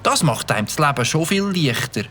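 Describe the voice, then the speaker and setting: An earnest young schoolboy in a children's radio play. His voice sits fairly high and moves up and down with plenty of expression. He sounds sheepish and good-hearted. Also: sing-song, fairly quick, sharp tone, a little hiss or hum. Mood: earnest, grateful. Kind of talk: children's audio drama acting.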